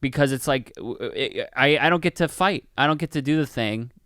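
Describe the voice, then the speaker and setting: A man's voice: mid-range voice, a little fast, fairly bright tone, dry recording. Casual rambling man, clean close sound. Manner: rambling, peppered with like and swearing. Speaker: podcast co-host. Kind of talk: casual chat, film review.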